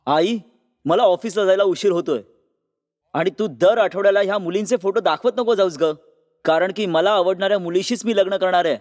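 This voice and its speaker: A man's voice: high voice, a little fast, a little mellow, lightly acted. A man playing an earnest, persuasive son in a cartoon moral story. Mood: impatient. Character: earnest, pleading men of a family, son and father. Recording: long hall-like reverb.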